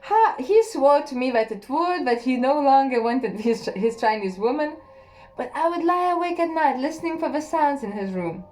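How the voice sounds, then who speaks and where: A woman reading a first-person erotic story aloud in a slow, intimate way, with soft pauses. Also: sits high, lively intonation, slight background noise. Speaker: audiobook narrator.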